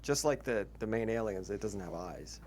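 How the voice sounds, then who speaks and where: A man's voice: fairly low pitch, expressive, normal speed, darkish tone. A man chatting casually during a tabletop game, hedging as he loosely recalls details. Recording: faint background noise.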